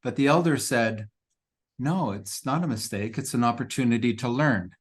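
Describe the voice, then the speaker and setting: A man moderating an online Q&A over a video call, speaking slowly and haltingly with many pauses. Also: medium pitch, slightly rough. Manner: hesitant, many ums and pauses, slow. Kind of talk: hosting a Q&A.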